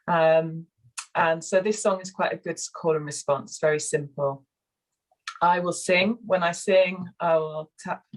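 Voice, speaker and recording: A soft-spoken woman with a slightly croaky voice, co-facilitating a video call, guiding a relaxation and sharing with hesitant pauses and fillers. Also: lowish voice, rough recording. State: hoarse, croaky. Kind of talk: guided relaxation and casual sharing.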